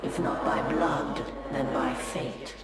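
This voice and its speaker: A man playing a calm, mystical alien prophet in a video game, with measured, formal speech. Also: mid-range voice, over a noisy crowd, lightly acted. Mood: earnest, mystical. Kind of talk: dramatic video game acting. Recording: heavily echoed, distant, loud music.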